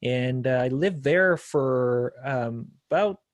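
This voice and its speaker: A man's voice: mid-range voice, takes it slowly, no echo. A man in his thirties from South Korea, a pastor and guest on the call, chatting and joking easily. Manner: joking, laughs easily, conversational. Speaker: pastor and apologist. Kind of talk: casual interview guest.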